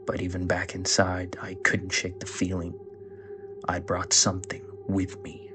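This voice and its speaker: Over clear background music, a man voices a brooding, haunted storyteller giving first-person horror narration. His voice is very deep and stays flat, with a slow, measured and somber delivery. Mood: ominous, haunted.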